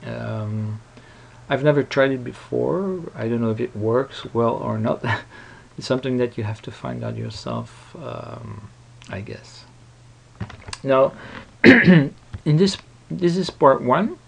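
A man presenting a casual, unscripted software tutorial in a hesitant, rambling manner. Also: medium pitch, slow pace, gravelly, a little hiss or hum. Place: voice-over recorded at a computer.

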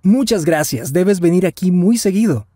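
A man's voice: sits high, expressive, normal speed, dark tone, no echo, clean studio sound. Man voicing Daniel, a curious young traveler. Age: 20s. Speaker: voice actor in language lesson dialogue. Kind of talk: scripted slow and normal-speed lesson dialogue.

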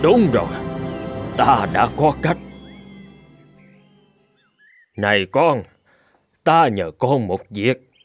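A solemn, calm old temple abbot. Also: male voice, mid-range voice, breathy edge. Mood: thoughtful. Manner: measured, solemn, teacherly. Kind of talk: cartoon folk-tale dubbing. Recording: music under the voice.

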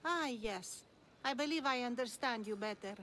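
A woman's voice: on the high side, normal speed, bright, forceful tone, a little hiss or hum. An actress playing a shrewd, enigmatic older woman of ancient Greece in a video game, composed and cryptic. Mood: knowing.

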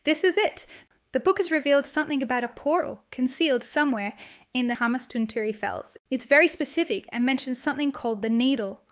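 A young female student , played by a voice actress, confides in her video diary in a fairly high voice. The delivery is dramatic, made for a horror audio story, and the sound is close and dry. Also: fast, no room sound, natural voice. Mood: intrigued, determined.

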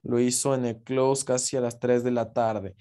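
Guy walking thru forex charts, mellow warm voice, chatty, keeps checking in w viewers. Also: mid-range voice, little pitch movement, normal speed. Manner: casual, explanatory, frequent "¿ok?" check-ins. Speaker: forex trading instructor. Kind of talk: screen-share trading lesson.

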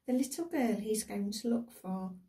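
A woman's voice: medium pitch, normal speed, warm tone, far from the mic, lightly acted. Woman reading as a wistful, musing young girl.

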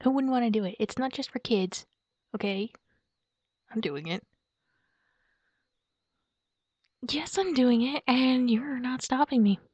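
Casting: woman playing a cutesy, excitable young girlfriend for roleplay audio; higher voice, soft delivery, slightly muffled lo-fi sound. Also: normal speed, husky, dry recording. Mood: eager, playful. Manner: giggly, cutesy, soft and hesitant, musing aloud. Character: playful, excitable werewolf girlfriend shopping for Halloween costumes. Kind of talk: girlfriend roleplay ASMR, improvised-sounding monologue to a partner. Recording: dull lo-fi close dry recording.

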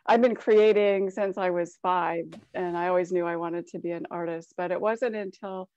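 A woman's voice: lowish voice, steady tone, moderate pace, darkish tone, clean tone, no room sound. A woman from Cleveland, Ohio, speaking as an artist on a panel in a friendly, chatty way over a video call. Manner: friendly, chatty, some fillers. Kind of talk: casual panel talk. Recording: dry, dull lo-fi call audio.

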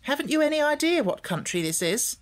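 A man's voice: very high voice, sing-song, a little fast, pitched above the performer's own voice. A man voicing a fussy, anxious, questioning talking hen for a storybook. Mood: curious. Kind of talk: audiobook character voice.

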